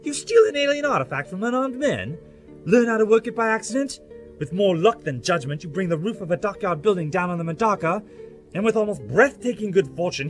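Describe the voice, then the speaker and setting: Guy doing witty eccentric time traveller hero, sardonic n wordy, high lively voice, audio drama, loud music behind. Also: exaggerated voice. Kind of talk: science fiction audio drama acting.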